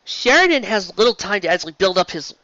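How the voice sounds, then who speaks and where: A fan-show host with a bright, pressing voice at a middling pitch rambles through a casual review. The delivery is gushing and emphatic, with frequent stumbles and restarts. The sound is dry and slightly lo-fi. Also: sing-song, quick pace, dry recording. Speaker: host of a fan fiction live-read show.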